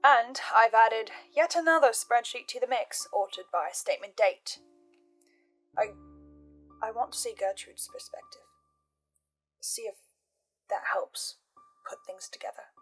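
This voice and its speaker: A woman hosting a scripted horror analysis, speaking as an investigator in a measured, deliberate way with dramatic pauses. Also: on the high side, a bit bright. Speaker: host of a fan theory channel. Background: music.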